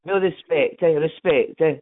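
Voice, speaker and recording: A man's voice: a little high, normal speed. A man with a Scottish accent, rambling and apologetic on a casual phone call, tagging his sentences with questions. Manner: rambling, apologetic, keeps adding "eh?" and "right?". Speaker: caller, prospective legal client. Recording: narrow phone line.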